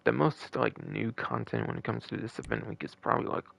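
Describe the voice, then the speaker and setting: A man's voice: lowish voice, lively intonation, a little fast, gravelly, fairly bright tone. A man hosting a gaming live stream with casual, rambling, repetitive commentary and the odd swear word. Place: a room at home.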